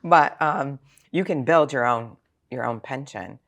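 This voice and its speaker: A woman's voice: rumbling low, a little slow, fairly bright tone. A sixty-year-old woman speaking as a financial planner in an interview, explaining things informally with examples and rhetorical questions. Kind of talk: conversational interview answers.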